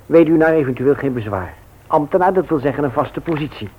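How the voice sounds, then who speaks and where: A man's voice: fairly high pitch, animated, brisk, slight background noise, lightly acted. An actor playing a reluctant, sceptical single man with a dry, wry grumble in a Dutch radio play. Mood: sceptical, scornful. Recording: tinny old radio recording.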